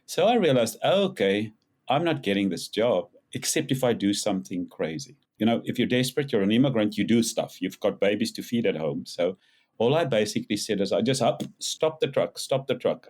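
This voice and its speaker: An older man with a South African accent, a podcast guest telling his story in a lively, animated way. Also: lowish voice. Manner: animated storyteller, rises when acting out quotes. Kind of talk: casual interview storytelling.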